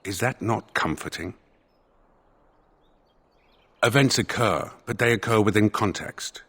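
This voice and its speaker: Husky, solemn spirit of justice. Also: male voice, on the low side, fairly bright tone, full character voice. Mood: pondering. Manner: formal, measured, philosophical. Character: solemn spirit of justice inhabiting a dead man's body. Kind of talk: video game dialogue.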